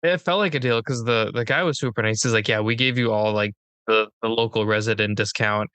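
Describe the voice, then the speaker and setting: A man's voice: fairly low pitch, brisk, a bit bright, clear, resonant tone, dry recording. A man hosting a podcast in casual conversation. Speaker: podcast host. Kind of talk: casual chat.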